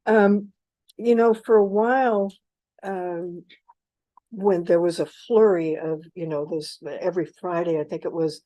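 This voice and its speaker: Low, mellow-voiced woman moderating a panel. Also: steady tone, measured pace, no room sound. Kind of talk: moderating a public panel discussion. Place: live panel event at a university.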